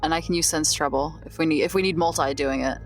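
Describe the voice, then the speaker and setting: A woman's voice: sits low, quick pace, vocal fry. A woman playing a tabletop game, mixing casual game talk with roleplay. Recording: music behind the voice. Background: music.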